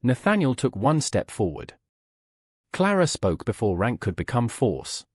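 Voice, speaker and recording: A man reading historical romance fiction as a measured, formal audiobook narrator. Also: mid-range voice, wildly swooping pitch, darkish tone, no room sound, clean studio sound.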